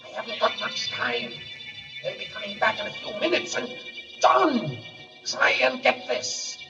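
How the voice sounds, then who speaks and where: A woman playing a desperate disembodied voice, pleading slowly and urgently in 1940s radio drama style. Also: on the high side, big pitch swings, over music. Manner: slow, urgent, eerie pleading. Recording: distant echoing voice on dull old radio recording.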